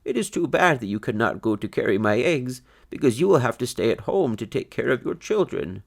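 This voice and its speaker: A man voicing a kind, wise old grandfather rabbit in a storybook, gentle, measured and benevolent. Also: mid-range voice, very animated, creaky, voice pushed up. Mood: gently regretful.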